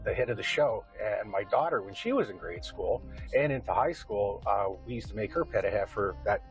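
A man's voice: on the low side, expressive, moderate pace, faint music behind, rough recording. A middle-aged man with a Canadian accent, answering interview questions in an earnest, rambling conversational way.

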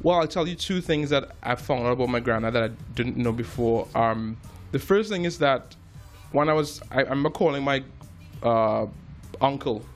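Relaxed Trinidadian-born musician, storytelling. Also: male voice, medium pitch, moderate pace, faint music behind. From Trinidad and Tobago. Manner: relaxed storytelling with frequent fillers and restarts. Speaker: jazz musician and filmmaker, guest. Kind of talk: casual interview.

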